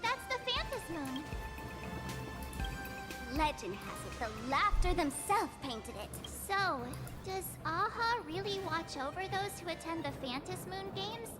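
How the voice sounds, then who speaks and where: A woman acting bright, chatty young adventurers in a video game, over loud music. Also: very high voice, very animated, measured pace, exaggerated voice. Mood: excited.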